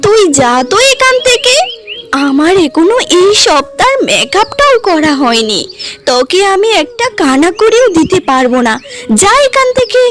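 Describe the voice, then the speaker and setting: A woman voicing a haughty, high-pitched young wife. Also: very animated, moderate pace, a bit bright, a little hiss or hum, heavily acted. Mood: haughty, boastful. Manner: haughty, scolding. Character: vain, selfish, snappy young wife. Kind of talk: cartoon story acting.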